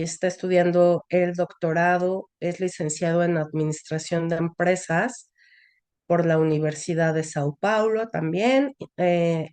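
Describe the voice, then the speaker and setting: A woman moderates an academic online seminar. She speaks very slowly in a somewhat low voice, pausing often on "eh" and "este". The sound is dry and close, with no room echo. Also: darkish tone, clean tone. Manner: unhurried, hesitant with "eh" and "este" fillers. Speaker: session moderator.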